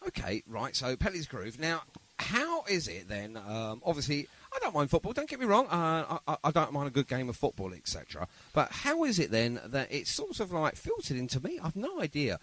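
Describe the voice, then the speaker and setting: A man's voice: on the high side, wildly swooping pitch, fairly quick, few pauses, fairly bright tone. A man hosting a podcast in casual interview chat, with a rambling, hesitant way of phrasing his questions. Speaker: podcast host.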